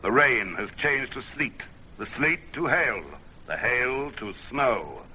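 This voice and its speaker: Male documentary narrator: solemn, measured, dramatic, with forceful bright tone and wide intonation, vintage radio sound. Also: mid-range voice, animated, a little hiss or hum, low-quality recording. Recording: band-limited vintage radio recording, music behind. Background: music.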